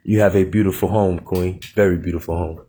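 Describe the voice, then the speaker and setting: A man, hosting a live podcast interview in an animated way and laughing often. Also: deep voice, fairly quick. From Plainview, Texas. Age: 30s. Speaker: podcast host.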